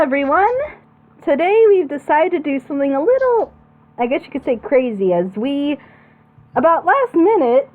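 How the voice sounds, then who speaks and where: Female host, casual group chat, high register, very animated delivery. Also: phone-quality sound. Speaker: co-director and host of a group reaction show.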